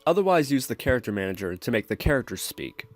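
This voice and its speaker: A man narrates a programming screencast as a tutorial host. His voice sits at a medium pitch, and he gives step-by-step instructions with music playing behind him. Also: sing-song, quick pace.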